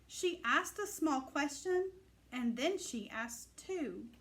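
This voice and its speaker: Animated woman reading a children's storybook. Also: high-pitched. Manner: expressive, deliberate, emphatic phrasing for children. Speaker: storybook reader. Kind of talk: animated read-aloud of a rhyming children's picture book.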